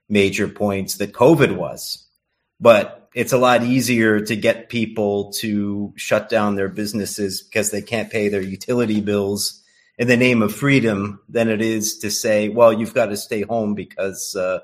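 A man from the United States talks as an interview guest in a somewhat low voice. He rambles, stumbles over his words and fills pauses with uhs. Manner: rambling, stammering restarts, many uhs. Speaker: guest writer and editor. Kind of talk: casual interview chat.

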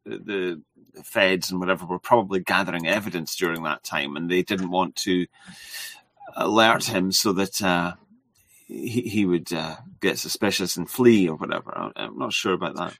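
A man hosting a casual podcast discussion, relaxed and hesitant, often pausing on filler sounds. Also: sits low, vocal fry. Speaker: podcast host.

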